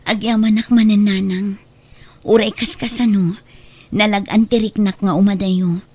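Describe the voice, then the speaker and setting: Lady acting as a filipina mom working abroad, radio drama, caring and earnest, medium pitch. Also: fairly even intonation, moderate pace, dark tone, slightly rough, natural voice. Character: earnest, self-sacrificing mother working abroad.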